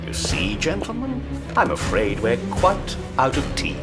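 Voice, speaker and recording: A sinister doctor villain from an audio drama, mock-courteous and menacing. His delivery is slow and swoops widely in pitch, with loud music underneath. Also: wildly swooping pitch. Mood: cold mock courtesy. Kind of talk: full-cast audio drama acting.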